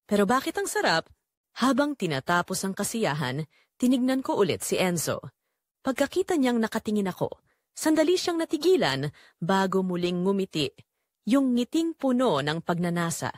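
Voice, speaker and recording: A woman narrating as a guilt-ridden, secretly longing mother in a scripted audio drama, with a measured, confessional delivery. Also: mid-range voice, sing-song, no room sound, clean studio sound, lightly acted. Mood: longing, resolved.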